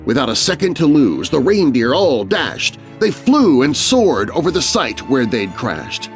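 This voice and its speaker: A male narrator tells a spooky children's tale in rhyme. His delivery is theatrical and very animated, with music underneath. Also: medium pitch, measured pace. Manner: theatrical, rhyming storyteller delivery. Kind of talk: dramatic children's horror story narration.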